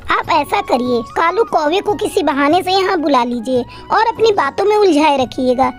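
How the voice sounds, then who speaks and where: A very high-pitched young cartoon sparrow performed by a woman. She is a cheeky, eager daughter-in-law with a respectful, coaxing way of talking. Soft music sits behind her. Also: animated, moderate pace. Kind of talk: Hindi children's cartoon acting.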